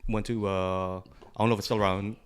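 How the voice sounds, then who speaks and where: A man's voice: fairly low pitch, little pitch movement, fast, clear, resonant tone. An American man co-hosting a podcast, talking casually and slangily, often repeating his words. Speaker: podcast co-host. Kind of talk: casual chat between friends.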